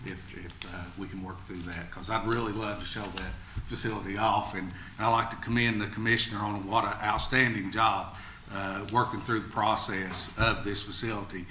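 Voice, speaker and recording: Man, lowish voice, meeting chair, echoey room, clear background noise. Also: level tone, normal speed, sharp tone. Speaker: commission chair. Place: indoor public meeting room.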